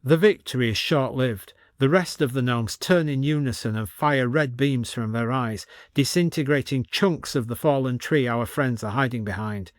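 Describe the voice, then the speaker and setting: A man's voice: medium pitch, normal speed, hardly any pauses, dry recording, studio quality. A man reading scripted comic fiction as an audiobook narrator.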